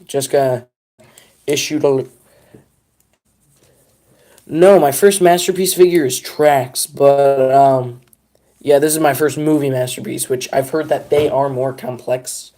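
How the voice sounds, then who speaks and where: A man's voice: mid-range voice, fairly even intonation, slow, pauses often, dark tone. A young man chatting casually on a live stream, rambling and self-deprecating as he talks to his viewers.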